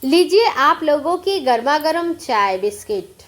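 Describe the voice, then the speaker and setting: A woman plays a self-reliant old tea seller in a moral tale. She is deferential and plain-spoken, with a high, lively voice. Her pace is slow and her temper cheerful and accepting. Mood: cheerful, welcoming.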